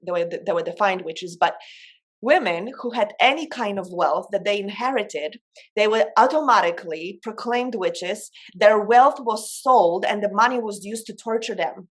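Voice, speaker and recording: Female coach, mid-pitched voice, informal motivational delivery with emphatic, dramatized phrasing. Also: moderate pace. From Poland. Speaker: coach and speaker. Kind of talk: informal motivational talk on spiritual self-development.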